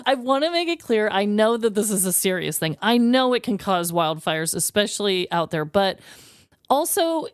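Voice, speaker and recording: Clear, animated woman podcaster. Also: mid-range voice, normal speed, no echo, clean studio sound. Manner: emphatic, slangy, informal. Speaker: podcast co-host. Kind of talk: casual comedic storytelling chat.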